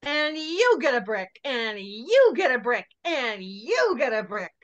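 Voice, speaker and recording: A woman's voice: on the high side, sing-song, slow pace, dull audio. A woman playing a brash, bossy, foul-mouthed sister with a blunt, commanding manner in a script table read. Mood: showy, hyped.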